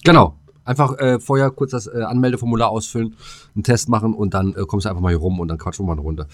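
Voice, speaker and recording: A man with a fairly low voice co-hosts a podcast, recorded dry without room sound. His talk is casual and rambling. He often repeats phrases and slips in dry jokes. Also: quick pace, no echo. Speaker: podcast co-host. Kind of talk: casual comedic banter.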